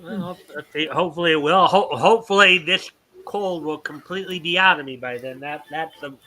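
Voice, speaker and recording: A man's voice: fairly high pitch, fairly quick, bright, forceful tone. A man with a stuffy nose from a mild cold, chatting casually on a podcast with lots of fillers. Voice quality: slightly nasal. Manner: rambling, many fillers and restarts. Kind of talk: casual chat.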